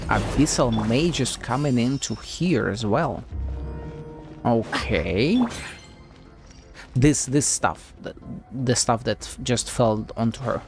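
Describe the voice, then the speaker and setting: A man's voice: medium pitch, expressive, slow, soft background music. A man streaming a video game with casual, rambling live commentary, playfully reacting aloud as he plays.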